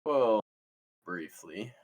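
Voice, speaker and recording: A man's voice: fairly low pitch, expressive, extremely slow, a little mellow, muffled recording, exaggerated voice. A man playing a brash, crude teenage slacker in a casual comedy script read. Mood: sheepish, casual. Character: brash, crude seventeen-year-old slacker buddy. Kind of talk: script table read, casual comic acting.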